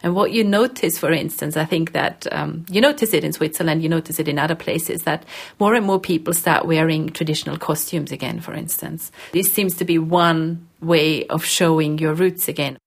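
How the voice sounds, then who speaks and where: A woman's voice: deep voice, moderate pace, creaky, hardly any pauses. A woman researcher explaining her work in an interview, thoughtfully repeating phrases as she forms her points.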